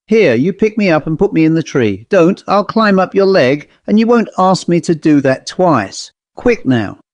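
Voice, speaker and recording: A man's voice: fairly high pitch, big pitch swings, normal speed, dry recording, pitched above the performer's own voice. A man voicing an angry, bossy talking owl from a storybook, indignant and curt, with a colloquial working-class English accent. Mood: startled, urgent. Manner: indignant, curt, dropping aitches. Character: angry, bossy, dishevelled wet old-fashioned talking owl. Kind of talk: storybook character voice.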